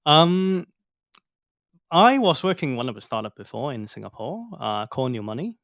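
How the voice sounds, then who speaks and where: A man in his thirties from Hong Kong, a startup cofounder, answering interview questions haltingly, with many fillers. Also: medium pitch, very animated, a bit bright, no room sound, studio quality. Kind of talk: casual interview talk.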